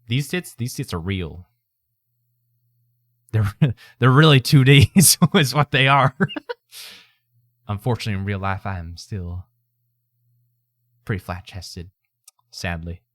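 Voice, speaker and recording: A man's voice: lowish voice, animated, slow, long pauses, a bit bright, dry recording, clean studio sound. A man chatting casually on a livestream in a put-on accent, with a folksy, playful manner. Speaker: streamer, VTuber.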